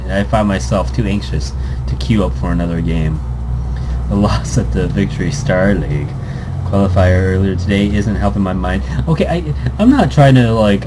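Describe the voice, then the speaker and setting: A man doing casual let's play commentary, reading game text aloud and breaking off into rambling asides. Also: on the low side. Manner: rambling, self-interrupting asides. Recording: noisy amateur home recording.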